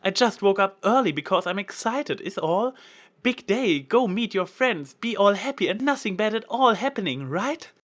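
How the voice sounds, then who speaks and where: Man as a showy female storybook unicorn, raised pitch, exaggerated, eager to please. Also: lively intonation, moderate pace, fairly bright tone. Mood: forced cheer. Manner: theatrical, exaggerated.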